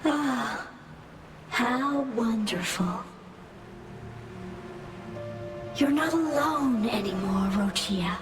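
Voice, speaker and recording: A woman voicing a wistful, eerie childlike girl in a video game cutscene, with halting, lingering delivery. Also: fairly high pitch, lively intonation. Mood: tender, happy. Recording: music behind the voice.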